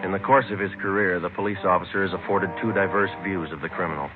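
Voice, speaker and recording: Brisk, low-voiced American police detective played by an actor. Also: male voice, fairly even intonation, lightly acted. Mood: matter-of-fact. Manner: clipped, matter-of-fact, understated. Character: earnest, weary male police detective sergeant narrating his case. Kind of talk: 1950s radio police drama, terse scripted narration. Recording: lo-fi old radio recording with music.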